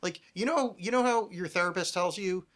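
A man's voice: high-pitched, a little fast, fairly bright tone. A man hosting a casual comedy podcast, rambling along with sarcasm and plenty of swearing. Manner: sarcastic, rambling, foul-mouthed. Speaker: podcast host.

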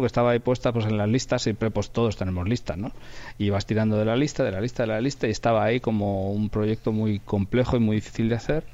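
A man's voice: on the low side, a little mellow, dull audio. A man with an accent from Spain, talking reflectively in an interview as a radio drama director, often repeating words for emphasis. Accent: Spanish from Spain.

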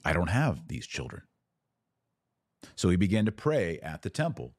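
A man's voice: deep voice, huge pitch swings, moderate pace, darkish tone, dry recording. A man hosting a podcast, teaching in a casual, conversational and earnest explanatory way.

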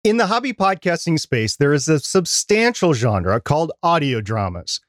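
A man's voice: fairly high pitch, big pitch swings, normal speed, no echo. A man co-hosting a podcast, presenting prepared segments and interview questions. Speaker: podcast co-host.

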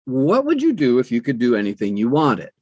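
A man in his sixties chatting as an interview guest, telling personal stories in an animated way and acting out quoted dialogue. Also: medium pitch, fairly quick, dry recording, dull audio. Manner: animated storyteller who acts out quoted dialogue. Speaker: guest, audiobook narrator. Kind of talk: conversational personal storytelling.

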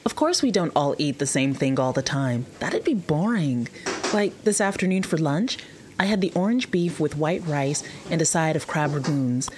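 Woman playing a chatty food server, lowish voice. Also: lively intonation, normal speed, noisy background. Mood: chatty, explaining. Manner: casual, conversational. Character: chatty, helpful, casual human woman working in food service. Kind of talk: full-cast audio drama acting.

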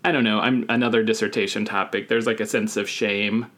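A man from the Deep South co-hosting a podcast, talking in a relaxed, reflective way over a video call and laughing now and then. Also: a little fast. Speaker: podcast co-host. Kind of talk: casual chat.

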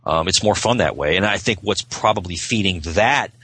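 Low, husky man chatting casually. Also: moderate pace, bright, forceful tone, no room sound. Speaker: podcast guest. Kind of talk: casual chat about TV.

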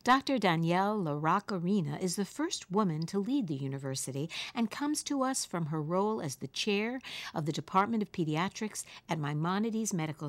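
Woman, radio host, from New York, slightly low pitch, conversational interviewing. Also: dry recording. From Queens, New York.

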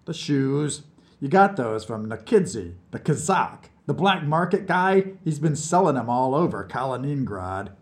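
A man's voice: medium pitch, sing-song, a little slow, voice pushed up. A man voicing a cocky, tipsy male Soviet scientist who brags and gossips in a conspiratorial way. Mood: boastful. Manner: boastful, chatty, conspiratorial. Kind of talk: audiobook character voice.

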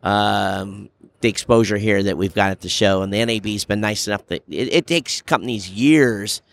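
A man hosting a podcast in a casual, chatty style, with halting phrasing. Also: fairly low pitch. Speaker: podcast host. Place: booth in a very large trade show hall. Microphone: podcast microphone.

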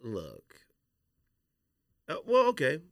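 A man's voice: a little high, wildly swooping pitch, takes it slowly, no room sound, clean studio sound. A man hosting a podcast in casual, slangy sports and sneaker banter, pressing his point with repeated lead-ins. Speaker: podcast host. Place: dry studio.

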